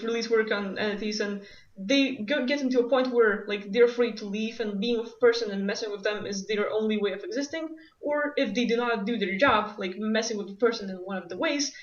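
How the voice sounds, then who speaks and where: A woman from Lithuania with a Lithuanian accent, hosting a casual conversation and laughing often. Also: fairly high pitch. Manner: laughs often, many "like" fillers. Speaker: host. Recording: echoey, distant, dull sound.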